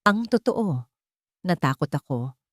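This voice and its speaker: A grave, commanding father full of remorse, voiced by a woman. The voice sits low and speaks earnestly and haltingly, as in an audio drama. The sound is very dry. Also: very animated, no room sound, exaggerated voice. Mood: confessing, pained. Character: remorseful, commanding billionaire father confessing and apologizing.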